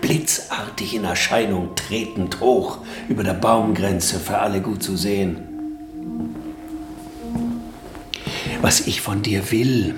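A deep-voiced, grandiose male boss played by an actor declaims like a visionary in a radio drama. He is pompous and commanding, in a roomy space with faint music behind him. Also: fairly even intonation, a little slow, natural voice. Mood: visionary, insistent.

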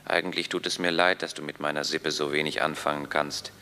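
Man doing weary soldier, deep, gravelly, bright edge, flat. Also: a little fast, slight background noise, heavily acted. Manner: subdued, flat letter reading. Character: Ernst, weary soldier writing home.

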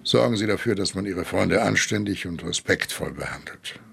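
An actor playing a stern, vengeful, paternal sheriff, grave and authoritative, in a German radio drama. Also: male voice, deep voice, moderate pace, vocal fry, fairly bright tone, husky, exaggerated voice. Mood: grim, commanding.

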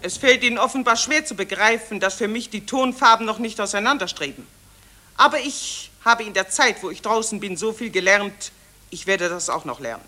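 A woman's voice: mid-range voice, brisk, bright, forceful tone, lightly acted. An actress playing a gushing, self-important female war reporter in a satirical radio drama, declaiming in an affected, theatrical way. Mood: rapturous.

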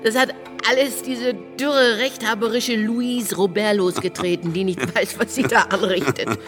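A woman's voice: mid-range voice, unhurried, a bit bright, lightly acted. A woman playing a disillusioned, gossipy society hostess in a German radio drama, talking with sighing irony. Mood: wry, probing. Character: disillusioned society salon hostess, mother-in-law, gossipy and wry. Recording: music playing behind the voice.

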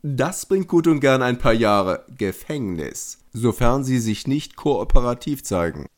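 A man's voice: medium pitch, big pitch swings, a little slow. A man playing a stern, pompous, short-tempered school principal in an amateur audio drama, formal and intimidating. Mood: threatening.

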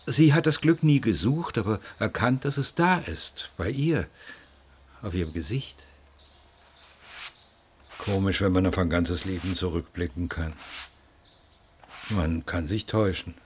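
An actor playing a grieving middle-aged father in a radio play, musing haltingly and plainly about his loss. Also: sits low, animated, darkish tone, slight background noise. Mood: wistful. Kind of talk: interview-like monologue in a radio play.

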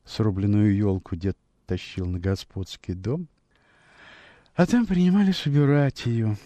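A man narrating a classic story for radio, with expressive, measured, dramatic storytelling. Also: lowish voice.

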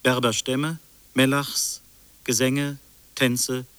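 A man's voice: medium pitch, little pitch movement, extremely slow, a bit bright, clean tone, no echo. An actor playing an officious, sceptical interrogator in a radio drama, questioning and citing his notes. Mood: officious, crisp. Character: probing, sceptical male interrogator with files and notes.

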